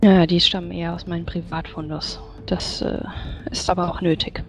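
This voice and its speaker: Concerned, courteous female adventurer for tabletop roleplay, played by a woman, lower register, faint music. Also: level tone, normal speed, close to an everyday voice. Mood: polite, concerned. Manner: formal, polite. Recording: music behind the voice.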